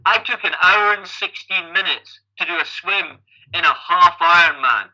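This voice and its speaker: A man's voice: sits high, moderate pace, sharp tone, poor audio. A Scottish man in his fifties, a guest athlete and coach, telling stories in a chatty way and often repeating the first word of a sentence. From Scotland. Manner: chatty, repeats words when starting sentences. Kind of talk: casual interview storytelling.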